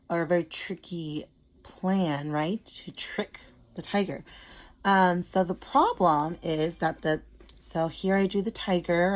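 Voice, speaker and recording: Low-pitched woman teaching children. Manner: simple explanations with check-in questions. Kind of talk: casual read-aloud lesson for children.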